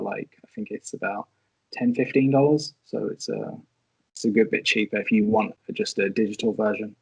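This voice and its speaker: Slightly husky man, close dry sound. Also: medium pitch, no room sound, poor audio. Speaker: podcast panelist. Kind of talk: casual advice in discussion.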